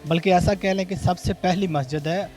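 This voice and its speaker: A man's voice: sits high, brisk, darkish tone, faint music behind. A man with a Pakistani Punjabi accent giving explanatory interview answers, going step by step and repeating phrases. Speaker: mosque organiser being interviewed. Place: on location at a mosque.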